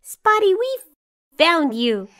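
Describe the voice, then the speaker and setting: Casting: a very high-pitched, cheerful little girl for a preschool cartoon, animated, helpful, encouraging. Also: exaggerated voice. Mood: eager, calling. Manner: slow, simple, encouraging, pausing after key words. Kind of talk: children's cartoon dialogue addressed to young viewers.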